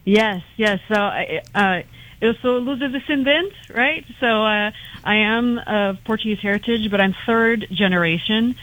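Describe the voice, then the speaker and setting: A woman's voice: mid-range voice, unhurried, fairly bright tone. A woman from California, a guest on a phone line, chatting in a friendly way with many fillers. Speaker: phone-in guest, children's book author. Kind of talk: casual interview chat.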